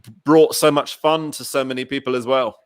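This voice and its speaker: Male interviewer, medium pitch, effusive, rambling, casual and chatty, close dry sound. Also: fairly even intonation, fairly quick, clean tone, no echo. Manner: effusive, rambling fan enthusiasm. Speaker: show host interviewer.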